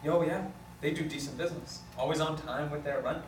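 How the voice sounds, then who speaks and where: A man's voice: fairly high pitch, fairly even intonation, fairly quick, lightly acted. A man playing a blunt, teasing, easygoing lawyer in a comedic script read. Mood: bluntly mocking. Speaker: actor at a script table read. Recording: echoey room, distant mic, noisy.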